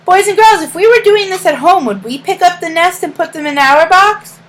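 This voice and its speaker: A woman reading a storybook aloud as a teacher to young children, in a deliberate sing-song way, pausing to ask questions and give praise. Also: very high-pitched, lively intonation, fairly bright tone.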